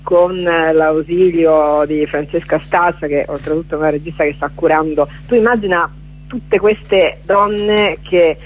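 A woman's voice: low-pitched, fairly even intonation, a little slow, rough recording. A woman giving a chatty radio interview as a guest, speaking in broken, hesitant phrases and repeating words for emphasis. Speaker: radio guest, performer presenting a stage event.

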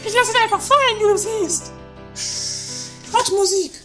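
Casting: woman as dramatic, bickering sister, extremely high voice, amateur radio comedy, clear music behind. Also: normal speed, fairly bright tone. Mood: indignant. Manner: theatrical, bickering. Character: quarrelsome, dramatic woman, one of two castle-dwelling sisters.

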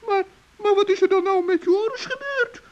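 A performer playing a small, good-hearted old male gnome in a children's radio play, earnest and fretful, with a squeaky, plaintive voice on a muffled old recording. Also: normal speed, darkish tone. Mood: worried, plaintive.

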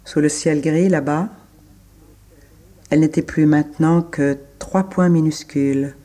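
A man reciting literary prose in a radio production, in short measured phrases with pauses between them. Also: on the high side, steady tone, dark tone.

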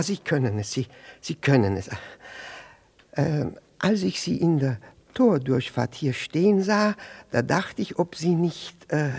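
A husky jealous husband in a vintage radio play, nervous and evasive under elaborate politeness. His pitch leaps about as he stammers, doubles his words and abandons sentences halfway. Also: darkish tone, exaggerated voice. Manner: stammering, repeats words, breaks off mid-sentence. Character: flustered jealous husband posing as a bachelor, evasive, over-polite. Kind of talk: 1960s German radio play acting.

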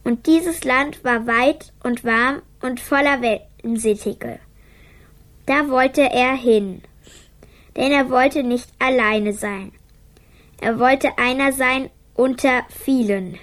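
A sad young girl in a radio drama, guarded and private. The voice is high and unhurried. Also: a bit bright. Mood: hushed reading. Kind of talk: radio play acting.

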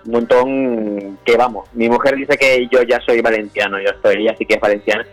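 A man from Venezuela, a band founder, speaking as a guest on a podcast. He talks hesitantly, stumbling and restarting his sentences. His pitch is middle, with faint music underneath. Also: fairly even intonation, normal speed, rough recording. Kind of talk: casual interview over a call.

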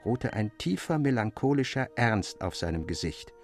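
Man reading a classic story, fairly low voice, measured and formal, bit of music behind. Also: very animated. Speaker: audiobook narrator. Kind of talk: literary storytelling, scripted narration.

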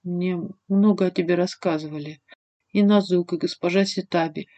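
A woman voicing a gentle, timid young woman in a fantasy audiobook, speaking tenderly and politely with some hesitation. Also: lowish voice, fairly even intonation, moderate pace, dull audio, natural voice. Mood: gentle, apologetic.

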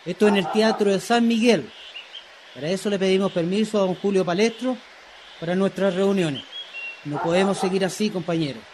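A man's voice: sits high, normal speed, close to an everyday voice. A man playing an earnest Chilean community leader in a radio drama, exhorting and pleading like an orator at an assembly. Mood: urgent, resolute. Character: earnest male community leader of poor shantytown dwellers. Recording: noisy recording with crowd clamour behind.

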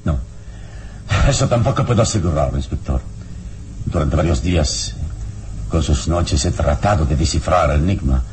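An actor playing a calm, wise adventurer hero with a solemn, deliberate delivery in a radio drama. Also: male voice, extremely low pitch, slight background noise. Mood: calm, pondering. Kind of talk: dramatic radio narration and acting. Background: dramatic music.

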